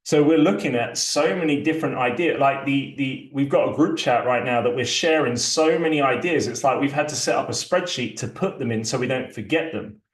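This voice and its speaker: A man's voice: medium pitch, a little fast, hardly any pauses. A man hosting a community launch, talking casually through a shared screen, loose and chatty with many fillers and restarts. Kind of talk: casual live walkthrough, screen sharing. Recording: echoey room sound.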